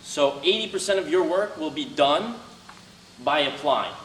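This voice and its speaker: A man's voice: on the high side, expressive, a little slow. Young man giving an earnest talk in a roomy classroom. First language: Albanian. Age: young adult. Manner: earnest, motivational, loud at the start. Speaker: guest speaker. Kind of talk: talk to students with Q&A. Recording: roomy, from a distance, faint noise.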